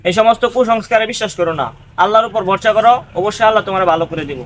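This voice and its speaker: Man as a preachy, very fast-talking villager. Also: high voice, natural voice, low-quality recording. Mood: earnest, admonishing. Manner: earnest, preachy, repetitive. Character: pious, sensible villager warning against fraud. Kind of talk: amateur skit acting with moral advice.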